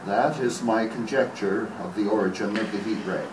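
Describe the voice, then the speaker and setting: A man's voice: fairly low pitch, moderate pace, close to an everyday voice. A man playing a learned professor explaining a theory in a live radio play. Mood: measured, academic. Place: hotel meeting room, panel table. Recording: distant roomy noisy hand-held camera recording.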